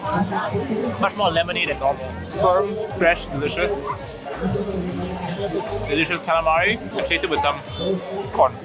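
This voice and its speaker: Relaxed young man in a busy market. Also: fairly high pitch, measured pace, pauses often. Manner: relaxed, descriptive, explains food and culture. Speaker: travel vlogger. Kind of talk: casual food vlog commentary. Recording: music and busy market crowd behind.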